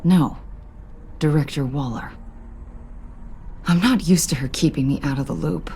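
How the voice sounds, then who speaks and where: An actress playing an earnest, conflicted government agent in a video game, speaking in a measured, candid and slightly formal way. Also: female voice, low-pitched, mellow, a little hiss or hum. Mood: uneasy, candid. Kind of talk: naturalistic video game dialogue.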